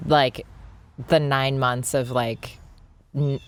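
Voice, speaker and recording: Low-voiced woman with teasing humor. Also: steady tone, slow. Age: 30s. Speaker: podcast guest. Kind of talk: casual chat.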